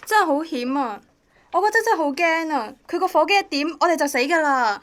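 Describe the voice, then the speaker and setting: A woman's voice: sits high, animated, brisk, fairly bright tone. A woman acting a bereaved but plucky girlfriend in a radio drama, speaking with a Hong Kong Cantonese accent. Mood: relieved, reflective.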